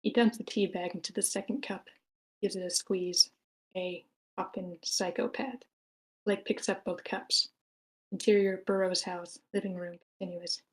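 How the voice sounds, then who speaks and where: Woman narrating screenplay action, mid-pitched. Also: fairly even intonation, moderate pace, rough recording. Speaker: narrator reading stage directions.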